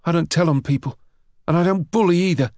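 A man's voice: a little high, brisk, darkish tone, dry recording, studio quality, pitched above the performer's own voice, natural voice. A man voicing a skinny, nervous but defiant schoolboy in a storybook, in a protesting manner. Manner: breathless, protesting.

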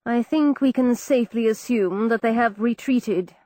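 Female narrator as aloof young gentleman detective, measured and lofty, dry studio sound. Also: on the high side, dry recording, lightly acted. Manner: slow, cool, superior.

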